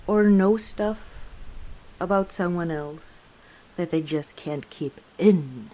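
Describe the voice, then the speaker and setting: A woman playing an easygoing, teasing female orc tavern owner in an unhurried ASMR roleplay. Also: lowish voice, mellow, low-quality recording. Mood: chatty, confiding. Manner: unhurried, playful teasing with frequent chuckles. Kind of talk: ASMR roleplay, one side of a conversation.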